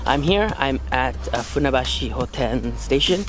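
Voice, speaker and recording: A young man with a cold-roughened, hoarse voice narrates his own travel vlog in a relaxed, rambling way. His pitch sits mid-range, and background music plays clearly under his talk. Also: moderate pace. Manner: chatty, loose, many "like" and "um" fillers. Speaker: vlogger.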